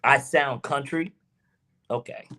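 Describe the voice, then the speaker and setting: A man's voice: mid-range voice, slow, bright, forceful tone. A man from Georgia with a Southern accent, co-hosting a casual sports podcast, talking emphatically and swearing freely. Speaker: podcast co-host.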